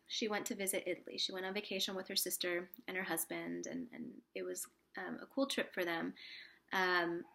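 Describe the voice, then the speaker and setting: A woman with a cold, sniffly and a little rough, chatting casually to camera as a spiritual vlogger, rambling with lots of fillers and restarts. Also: medium pitch, sharp tone.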